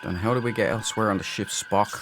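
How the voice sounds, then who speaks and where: A man with a low voice commentates casually on his game playthrough. He mutters to himself and playfully repeats phrases. Clearly audible game noise runs behind him. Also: steady tone, fast. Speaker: let's play host. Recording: loud game ambience behind. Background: video game sound.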